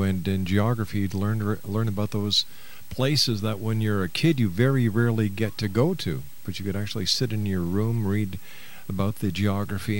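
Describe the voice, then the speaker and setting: Male radio host, middle-aged, low voice, interview format. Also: darkish tone.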